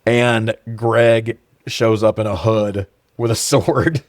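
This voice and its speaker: A man with a fairly low voice, recorded close and dry. He talks like a podcast co-host in loose film banter, slangy and jokey, with swearing thrown in. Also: steady tone, slow, no room sound. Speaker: podcast co-host reviewing films. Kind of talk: casual banter, film commentary.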